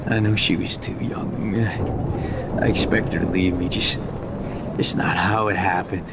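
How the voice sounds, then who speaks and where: An actor in a video game playing a rough, grieving drug dealer in his thirties, with an American accent and a gravelly, halting, emotional delivery. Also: steady tone, heavy background noise. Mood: sad, reflective. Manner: halting, emotional, coarse-mouthed. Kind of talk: dramatic video game acting. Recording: rumbling storm ambience behind the voice.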